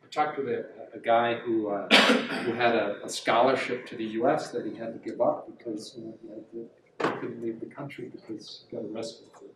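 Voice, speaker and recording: A man's voice: medium pitch, fairly even intonation, fairly quick, distant mic. Middle-aged guy chatting to a class, lots of um and you know, echoey room, faint hum. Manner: rambling, many fillers and restarts. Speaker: guest speaker, journalist. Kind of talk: talk with audience questions. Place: university classroom. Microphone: far camera, no mic.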